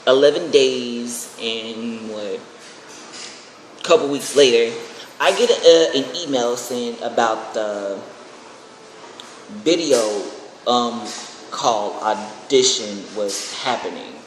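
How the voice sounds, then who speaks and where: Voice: medium pitch, takes it slowly, long pauses. Echoey, rambling young vlogger chatting casually. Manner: rambling, excitable. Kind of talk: casual chat to camera. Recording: echoey room with clear background noise.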